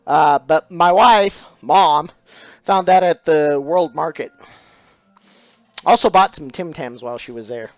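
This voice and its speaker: A man's voice: on the high side, unhurried, long pauses, no echo, muffled recording. A middle-aged man, chatting casually on a live stream about his craft, full of dad jokes and thanks to followers. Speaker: stained glass streamer.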